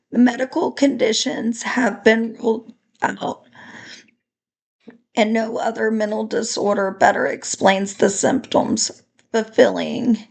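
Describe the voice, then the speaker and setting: A woman with a raspy voice from a recent illness, slowly reading through a clinical case study presentation with careful small restarts. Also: fairly high pitch, poor audio.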